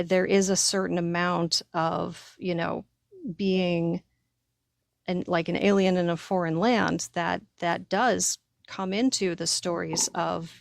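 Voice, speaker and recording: Low-pitched woman with a creaky edge. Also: no echo, clean studio sound. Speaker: podcast co-host and writer. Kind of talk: casual conversational discussion.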